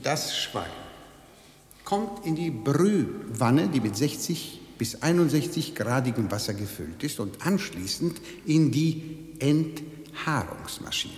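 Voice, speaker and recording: Officious, menacing slaughterhouse guide in an echoing hall. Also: male voice, mid-range voice, very animated, takes it slowly, darkish tone, faint music behind. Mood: clinical, matter-of-fact. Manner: officious, pedantic, coaxing with veiled menace. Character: evasive, menacing male slaughterhouse manager giving a tour. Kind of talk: radio drama acting. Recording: echoey hall with long reverb.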